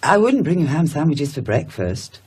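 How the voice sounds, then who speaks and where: Brisk, husky, practical woman. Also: low voice, very animated, darkish tone, exaggerated voice. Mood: brisk, caring. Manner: brisk, matter-of-fact. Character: brisk, practical, caring woman tending a patient. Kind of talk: naturalistic radio horror drama acting.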